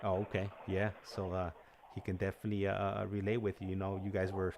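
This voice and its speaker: A man hosting a live call-in show in a casual, halting, agreeable way, often repeating himself. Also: low-pitched, completely flat delivery, a little mellow, a little hiss or hum. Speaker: host of a livestream call-in show.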